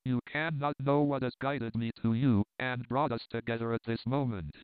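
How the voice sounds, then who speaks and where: Man reading a dying fervent visionary, quiet, measured, very clear voice, dry sound. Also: mid-range voice, fairly even intonation, no echo, lightly acted. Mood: solemn. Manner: quiet, measured, holding back his strength. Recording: dry, tinny phone-like sound.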